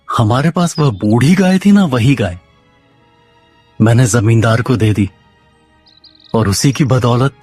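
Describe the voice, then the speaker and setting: A man's voice: on the low side, darkish tone, dry recording. A man voicing a lazy, naive village husband in a cartoon story, talking in dialogue.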